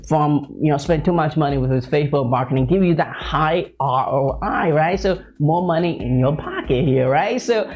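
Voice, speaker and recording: A man's voice: a little high, normal speed, few pauses, dull audio. A man hosting an interview in an upbeat sales style, repeating himself and checking in often, with non-native English phrasing. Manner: repetitive, hype-heavy delivery with frequent check-in tags. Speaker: interview host and product promoter.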